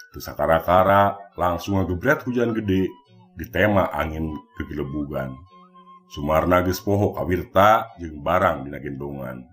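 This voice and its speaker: A man's voice: low-pitched, a bit bright. A man with a Sundanese accent, narrating a scripted mystery folktale as a storyteller. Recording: music bed behind the voice. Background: background music.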